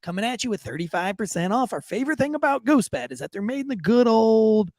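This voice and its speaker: A man's voice: high voice, big pitch swings, a little fast, a little mellow, no echo, studio quality. A man co-hosting a podcast, chatting loosely with jokes and swearing, and reading a sponsor ad with many restarts. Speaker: podcast co-host. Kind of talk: casual podcast banter and ad read.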